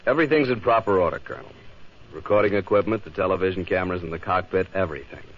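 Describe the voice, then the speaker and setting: An actor in a 1950s radio drama playing a formal aircraft designer, measured and reserved. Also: male voice, deep voice, animated. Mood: measured, guarded. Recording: dull vintage 1950s radio recording.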